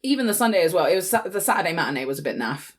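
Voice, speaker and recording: A woman's voice: lowish voice, big pitch swings, rapid-fire. A British woman in her late twenties chatting casually as a podcast co-host, in a chatty, informal way. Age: late 20s. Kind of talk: casual chat.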